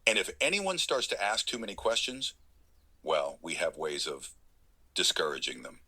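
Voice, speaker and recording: A very deep-voiced, sinister ringleader played by a man in an audio drama. His delivery is measured and menacing, with a husky edge and a forceful, bright tone. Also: very animated, exaggerated voice. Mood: cold menace. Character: sinister, controlling male leader of a secretive town clique. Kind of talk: scripted audio drama monologue.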